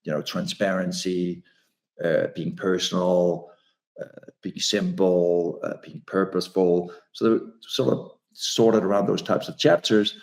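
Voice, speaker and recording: A very deep-voiced man whose voice is gravelly with fry. He is a native Danish speaker talking in English, and he stops and restarts his sentences often. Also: steady tone, measured pace. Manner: hesitant, many restarts. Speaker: author and speaker. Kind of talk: casual conversation.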